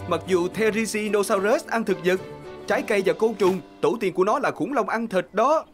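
A woman's voice: medium pitch, moderate pace, close to an everyday voice. A woman voicing a cheerful, easygoing boy sidekick in a children's dinosaur cartoon, with a friendly, teasing manner. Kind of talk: animated children's cartoon dub. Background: music bed.

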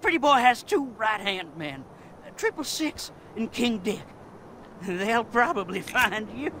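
An actor voicing a frantic, whiny lowlife biker informant with an American accent and slangy grammar in a video game. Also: male voice, animated, unhurried, bright, forceful tone, slight background noise, full character voice. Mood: scared, pleading. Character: panicky greasy lowlife biker informant, cornered and pleading. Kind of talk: video game cutscene acting.

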